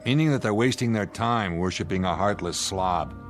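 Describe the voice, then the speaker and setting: An actor plays a male spaceship commander with a lowish voice, blunt and commanding. He speaks plainly with sardonic scorn, in radio drama style over some music. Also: moderate pace, close to an everyday voice. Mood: scornful, stern.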